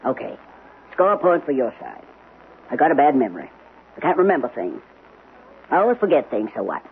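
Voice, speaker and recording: An actress playing a bossy, plain-spoken housekeeper in a radio drama, with a folksy, sarcastic, no-nonsense manner. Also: female voice, rumbling low, very animated, fairly quick. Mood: sarcastic, defiant. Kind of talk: 1970s radio drama acting. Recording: tinny, noisy old radio broadcast.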